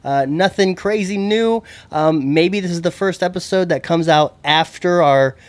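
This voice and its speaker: A man hosting a casual podcast, chatty and joking, with quick asides to his guests. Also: high-pitched. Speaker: podcast host. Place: indoor recording room.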